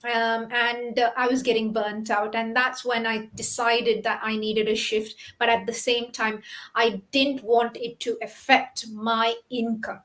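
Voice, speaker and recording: A woman's voice: fairly high pitch, little pitch movement, unhurried, a bit bright. A woman in her forties from the UK hosting a live stream interview, chatty, with frequent "you know" and "isn't it". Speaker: host, doctor and business owner.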